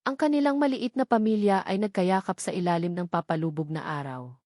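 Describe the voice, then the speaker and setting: A woman's voice: on the low side, brisk, dark tone, no room sound. Filipino woman narrating, dry close sound. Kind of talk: scripted romance story narration.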